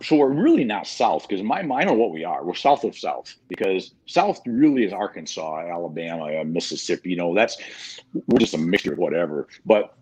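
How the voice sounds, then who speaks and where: A man's voice: sits low, sing-song, brisk, a little mellow, breathy edge. A man from mid-Michigan with a Michigan accent touched by Southern speech, a guest in a casual online interview who rambles and repeats his words. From mid-Michigan, USA. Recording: muffled lo-fi video call audio.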